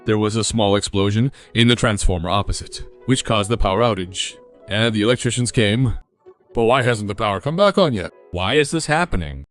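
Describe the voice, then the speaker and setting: Male actor as worried cartoon household father, low voice, faint music behind. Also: animated, brisk, natural voice. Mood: puzzled, worried. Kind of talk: dubbed cartoon dialogue.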